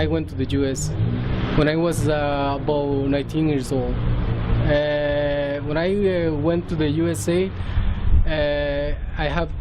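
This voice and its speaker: A man in his thirties with a Mexican Spanish accent gives a personal testimony in English, hesitant, with many fillers and restarts. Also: fairly high pitch. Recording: outdoor traffic noise behind.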